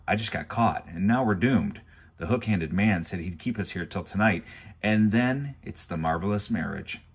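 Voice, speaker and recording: A man reading aloud and voicing an inventive, resourceful teenage girl in a soft, raised voice. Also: low-pitched, moderate pace, husky. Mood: anxious.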